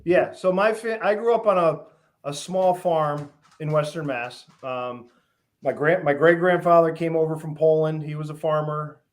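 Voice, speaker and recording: A middle-aged man from Western Massachusetts, a guest on an interview show, telling stories in a relaxed way with many pauses and lists. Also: fairly high pitch. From Western Massachusetts, USA. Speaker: guest, fish farm founder. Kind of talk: casual interview.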